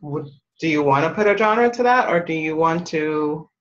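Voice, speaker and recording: A man's voice: fairly high pitch, animated, normal speed, lo-fi sound. A man interviewing a composer, asking questions in a hesitant, tentative way.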